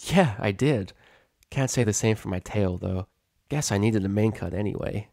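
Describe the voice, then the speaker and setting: A man's voice: fairly low pitch, moderate pace, gravelly, darkish tone, no room sound, clean studio sound. A man voicing a cocky, easygoing middle-aged female pegasus pony who brags casually, in a storybook reading. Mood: nonchalant. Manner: breezy, bragging, casual.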